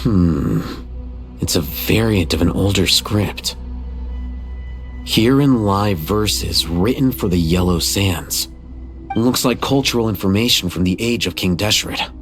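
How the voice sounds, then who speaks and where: Low-voiced, composed scholarly man. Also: lively intonation, faint music behind, full character voice. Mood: focused, measured. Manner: measured, matter-of-fact. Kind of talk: video game voice acting.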